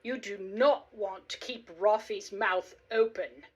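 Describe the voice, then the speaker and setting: A woman's voice: mid-range voice, steady tone, slow, breathy edge, lo-fi sound. A woman playing a brash, determined, reckless woman zookeeper in a radio play, with an emphatic, theatrical delivery. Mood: brash, confident.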